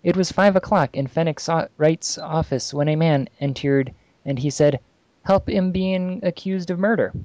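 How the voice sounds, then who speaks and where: A man casually reading amateur fan fiction aloud on a podcast, halting and amused, with asides. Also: on the high side, a little mellow, no echo, lo-fi sound.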